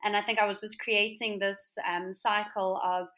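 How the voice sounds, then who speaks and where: A woman's voice: mid-range voice, little pitch movement, normal speed, a bit bright. A young South African woman, an interior designer guest, chatting casually in an interview with lots of fillers. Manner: chatty, rambling with many fillers.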